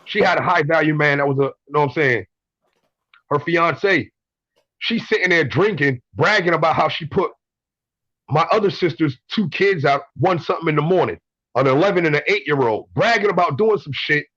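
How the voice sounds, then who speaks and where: A man's voice: on the high side, expressive, moderate pace, fairly bright tone, dry recording, muffled recording. A man in his twenties calling in to a show, telling blunt stories, swearing and repeating himself. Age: 20s. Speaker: caller. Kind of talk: casual call-in story.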